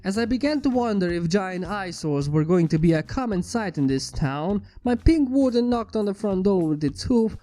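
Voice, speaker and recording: A man with a notably high, soft-toned voice narrates a first-person tale. His delivery is wry and theatrical, and music plays underneath the reading. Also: animated, moderate pace, hardly any pauses. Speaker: fan fiction narrator.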